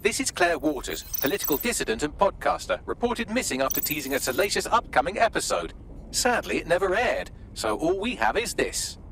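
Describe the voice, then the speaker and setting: Snarky ai, bright voice, faint game noise behind. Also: male voice, high voice, big pitch swings, brisk, gravelly, full character voice. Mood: wry. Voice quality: dry, arch wit. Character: dry, sarcastic male AI assistant.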